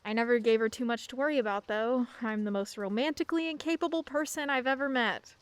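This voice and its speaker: A woman's voice: a little high, fast talker, clear, resonant tone, natural voice. A young woman playing a shy, bookish teenage girl in a radio play, hesitant and dryly self-mocking. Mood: wry.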